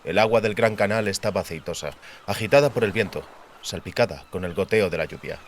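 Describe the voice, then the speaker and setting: A man reading a fantasy audiobook as narrator, in a measured, literary storytelling manner. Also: fairly low pitch, a little hiss or hum. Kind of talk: dramatized scripted fantasy narration. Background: faint music.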